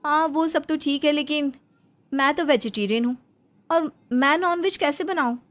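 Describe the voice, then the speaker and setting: A woman's voice: sits high, normal speed, fairly bright tone, soft background music. A woman with an Indian accent voicing a stubborn, chirpy young vegetarian daughter-in-law in a cartoon story, theatrical and mixing in English. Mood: flustered. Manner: theatrical, mixes English into speech.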